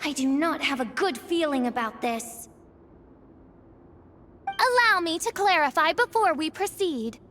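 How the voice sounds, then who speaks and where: A woman's voice: high-pitched, lively intonation, measured pace, pauses often, fairly bright tone, a little hiss or hum. A woman voicing a grandiose young princess in a video game, speaking in pompous, archaic, dramatic phrases and commanding her servants. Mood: wary. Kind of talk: video game character acting.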